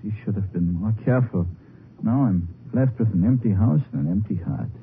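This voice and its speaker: An actor playing a grieving, nervous immigrant widower with a German accent in a 1950s western radio drama. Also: male voice, fairly low pitch, lively intonation, brisk, a little hiss or hum. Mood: bitter, mournful. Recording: tinny old 1950s radio recording.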